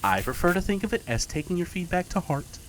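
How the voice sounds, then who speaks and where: An actor plays a self-styled best friend with a sinister streak. The delivery is theatrical and mock-wounded, swinging hugely in pitch. Every line teases and needles, with playful menace underneath, in audio drama style. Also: sing-song, quick pace, slight background noise, natural voice, rough recording. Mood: teasing. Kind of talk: fiction podcast acting.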